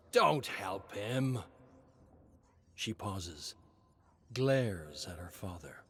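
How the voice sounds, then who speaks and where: A man reading a scripted dramatic story as narrator in a measured, suspenseful manner. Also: medium pitch.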